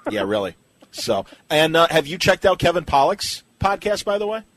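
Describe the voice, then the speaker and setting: A man's voice: fairly high pitch, very animated, normal speed, a bit bright. A man hosting a podcast interview in a casual, easygoing, agreeable conversational way.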